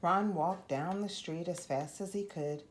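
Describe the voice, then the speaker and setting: A woman reading a children's picture book aloud as an expressive storyteller, acting out the dialogue as she goes. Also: lowish voice, measured pace, slight background noise. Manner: animated, acts out the dialogue. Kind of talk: expressive read-aloud narration.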